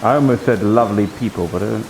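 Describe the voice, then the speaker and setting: A man's voice: fairly low pitch, fast, gravelly. A man playing a wry, dutiful one-eyed inquisitor guarding a gate in improvised roleplay. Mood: playful. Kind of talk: improvised in-character roleplay banter. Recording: rain noise behind the voice.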